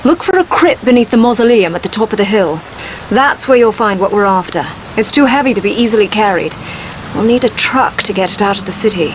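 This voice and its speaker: An actress playing a cool, sardonic wartime spy handler with a British accent in a video game cutscene. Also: female voice, mid-range voice, animated, a little fast, slight background noise, close to an everyday voice, low-quality recording. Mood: businesslike, teasing. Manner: cool, crisp, dryly teasing. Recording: music behind the dialogue.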